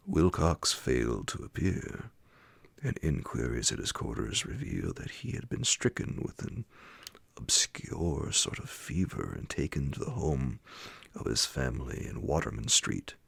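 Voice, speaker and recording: A man reading classic horror fiction as an ASMR bedtime audiobook, hushed and deliberate, with a gravelly, breathy voice that slips toward a whisper, close to the microphone. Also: rumbling low, huge pitch swings, no room sound. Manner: hushed, slow, deliberate phrasing. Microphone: close, dry microphone.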